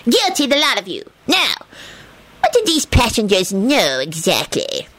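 A woman's voice: fairly high pitch, wildly swooping pitch, a little slow, a bit bright, voice pushed up, exaggerated voice. A woman voicing a tiny, pompous male train conductor in an audiobook, declaiming suspicious accusations. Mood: suspicious, indignant.

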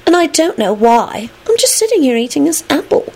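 A woman voicing a sweet, pouting young lady in an audiobook, bewildered and breathy. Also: high voice, big pitch swings, normal speed, darkish tone, voice pushed up, rough recording. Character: Rosie, sweet pouting young lady, playfully put-upon.

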